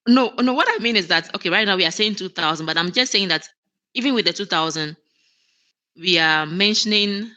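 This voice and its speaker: A woman's voice: medium pitch, a little fast, sharp tone. A woman guest in an interview, speaking earnestly with frequent restarts and easy laughter. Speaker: guest, operations manager. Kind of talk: conversational interview.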